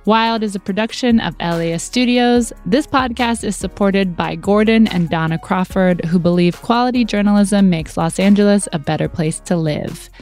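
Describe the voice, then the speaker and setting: An American woman delivers scripted podcast credits as co-host. Her voice sits at a medium pitch, with soft music underneath. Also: normal speed, hardly any pauses.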